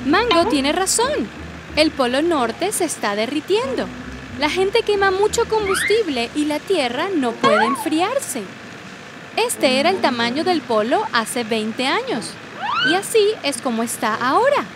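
A woman voicing a bright, take-charge young girl explorer in a children's cartoon, explaining earnestly. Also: high-pitched, sing-song, unhurried, very noisy. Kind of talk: animated children's cartoon dubbing. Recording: loud music behind the voice.

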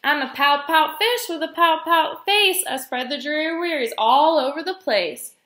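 A woman's voice: high voice, animated, moderate pace, bright, forceful tone, roomy sound, pitched above the performer's own voice. A woman voicing a gloomy, resigned male fish from a storybook, in mournful singsong rhyme.

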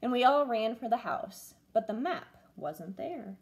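A woman's voice: on the high side, unhurried. A woman in her twenties, a teacher, expressively reading a picture book aloud to children at home. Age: 20s. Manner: expressive storytelling. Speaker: first-grade teacher. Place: small room at home, by a window.

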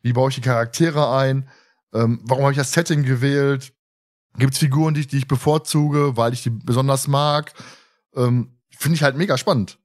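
A man's voice: mid-range voice, fairly even intonation, moderate pace. A man hosting a casual fan podcast, talking in a rambling way and often repeating words and phrases. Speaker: podcast host.

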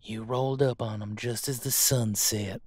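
A man's voice: mid-range voice, fairly even intonation, moderate pace, a little mellow, no room sound, natural voice. A man voicing a vengeful, taunting monster hunter with a menacing drawl in an audio roleplay. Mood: bitter.

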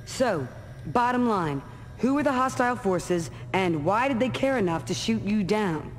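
A woman's voice: mid-range voice, animated, a little slow, slight background noise, lightly acted. Actress as a commanding woman mercenary major, reverberant. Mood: dry, wary. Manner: terse, authoritative, dry. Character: tough commanding female military mercenary major. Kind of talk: video game cutscene acting.

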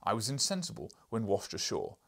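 A man's voice: lowish voice, huge pitch swings, a little fast, vocal fry, a bit bright, heavily acted. A man voicing an imperious, irascible older professor and explorer in an audiobook, lecturing pompously in a declamatory way. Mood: proud, declamatory. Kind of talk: audiobook character reading.

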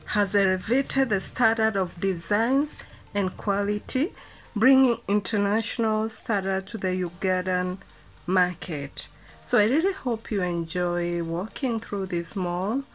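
A woman's voice: mid-range voice, poor audio. A young adult woman narrating a walk through a shopping mall. She reads out facts in a listing cadence, then chats casually with a few fillers. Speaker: vlogger.

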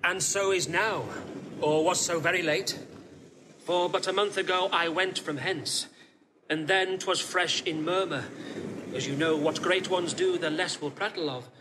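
Higher-voiced, loyal sea captain. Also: male voice, steady tone, a little slow, background noise. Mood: informative, loyal. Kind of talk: classical Shakespearean audio drama acting.

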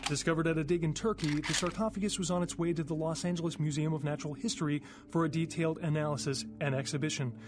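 A man's voice: fairly high pitch, steady tone, quick pace, lightly acted. An actor playing a formal male television news anchor in a video game, reading scripted bulletins in an impersonal manner. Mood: formal reporting.

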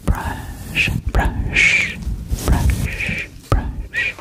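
A woman doing ASMR in a breathy whisper very close to the microphone, gently repeating words and phrases. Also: loud music behind the voice. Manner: gentle, slow, repetitive. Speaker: ASMR performer. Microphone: Blue Yeti without cap, very close.

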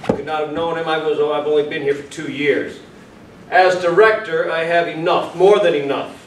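Guy as a stuffy defensive asylum director in a stage play, bit high voice, echoey. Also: fairly even intonation, normal speed, natural voice. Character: defensive, officious director of a boys' institution. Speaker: stage actor. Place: small indoor venue with audience. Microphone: far camera. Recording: echoey room, distant mic, faint noise.

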